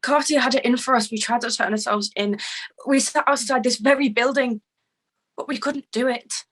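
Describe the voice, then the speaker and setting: A woman's voice: a little high, steady tone, normal speed, fairly bright tone, breathy edge. A woman playing a defiant, cornered suspect in a crime drama table read, speaking insistently. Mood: shaken.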